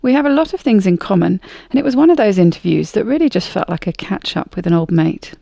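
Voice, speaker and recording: An upbeat, friendly woman hosts a podcast, reading her intro and chatting easily. Her voice has a gravelly fry at its edges. The sound is dry and close, with no room around it. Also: medium pitch, animated, fast, warm tone, no room sound. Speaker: podcast host. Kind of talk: scripted intro, then casual interview chat.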